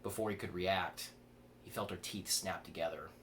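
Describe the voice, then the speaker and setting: A man's voice: low voice, steady tone, moderate pace, a bit bright. A man reads a horror story aloud, occasionally stumbling and rereading a word. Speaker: author reading own fiction aloud. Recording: clear background noise.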